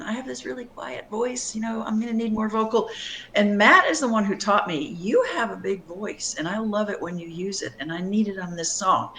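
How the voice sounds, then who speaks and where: A middle-aged woman with a bright, clear tone, talking as a singer-songwriter guest on an interview show. She speaks thoughtfully, pausing with fillers and restarting sentences as she works through her ideas. Faint noise sits under the recording. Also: mid-range voice. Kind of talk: conversational interview answers.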